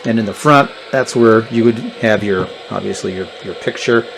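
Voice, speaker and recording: A man's voice: fairly low pitch, normal speed, fairly bright tone. A man giving a casual, unscripted hands-on product walkthrough in a plainspoken, explanatory manner. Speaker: gadget reviewer. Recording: clear background noise and clicks.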